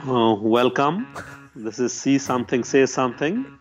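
A man's voice: medium pitch, animated, unhurried, highs cut off, soft background music. Older man speaking over a Skype call. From Pakistan. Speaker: guest, host's father. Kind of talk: casual chat over a call.

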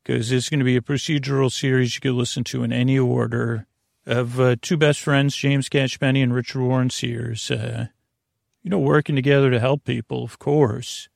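A man hosting a sleep podcast in a long-winded, halting monologue that keeps drifting off topic, with a gravelly, creaky voice. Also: medium pitch, little pitch movement, no room sound, clean studio sound. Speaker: podcast host.

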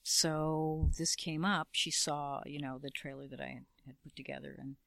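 Interview guest, woman, gravelly, wry and relaxed, dry close mic. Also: low voice, normal speed, no room sound. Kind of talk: casual interview conversation.

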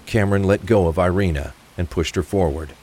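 Guy narrating a crime audio drama, really deep voice, scripted read. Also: a little fast, a little mellow, slight background noise.

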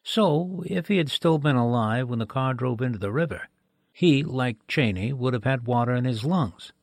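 An actor playing a measured, formal medical examiner who explains precisely, in a 1940s-style radio drama. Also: male voice, mid-range voice, lively intonation, no room sound. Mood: precise, authoritative.

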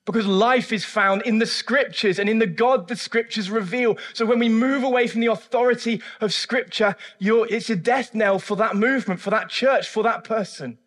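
A man preaching a sermon and interviewing a church member on stage, emphatic and swinging between hushed and loud. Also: sits high, normal speed, hardly any pauses. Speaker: pastor. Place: church service.

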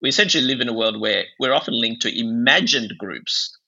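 A man's voice: mid-range voice, expressive, brisk, vocal fry, bright, forceful tone, dull audio. A man from Bangladesh speaking as a psychiatrist guest in an interview, explaining ideas in a discursive, intellectual way.

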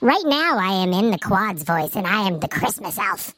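A man voicing a cheeky male Christmas elf through a pitch-shifting voice changer, teasing and indignant by turns. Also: sing-song, moderate pace, pushed and bright, full character voice. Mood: smug.

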